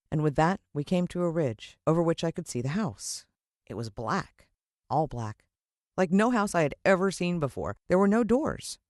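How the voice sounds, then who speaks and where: An American woman narrating a children's adventure story in an expressive, suspenseful storytelling style. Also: sits low, no echo, clean studio sound. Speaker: audiobook narrator.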